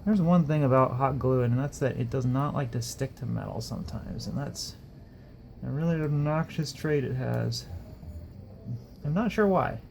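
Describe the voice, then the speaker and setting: A man's voice: mid-range voice, expressive, normal speed, gravelly, darkish tone, a little hiss or hum. A man hosting a casual workbench build video, talking in a rambling, self-deprecating way. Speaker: electronics hobbyist host.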